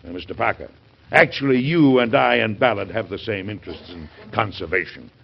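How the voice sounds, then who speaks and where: A man playing an indignant, burdened middle-aged American president in an old radio drama, speaking formally and emphatically with a gravelly, husky voice. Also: lowish voice, animated, fairly quick, slight background noise. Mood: firm, impatient. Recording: muffled vintage radio broadcast.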